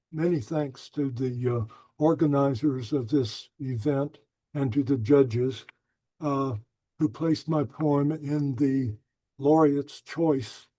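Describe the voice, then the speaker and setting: A man speaking as a poet, introducing and reading his own verse aloud in a measured, deliberate way. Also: medium pitch, dark tone, no room sound, low-quality recording.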